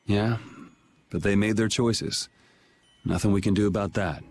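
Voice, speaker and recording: A man's voice: fairly low pitch, measured pace, darkish tone, a little hiss or hum. A man voicing a blunt, self-assured young man in a video game dub, with a dry, casual delivery. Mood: dry, resigned. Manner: dry, casual, matter-of-fact.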